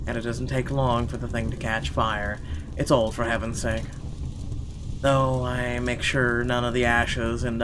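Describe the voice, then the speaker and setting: A man playing through a visual novel, reading its narration aloud expressively and dropping casual, sweary remarks. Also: mid-range voice. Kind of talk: gaming playthrough, dramatic read-aloud narration. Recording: music playing behind the voice. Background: game music.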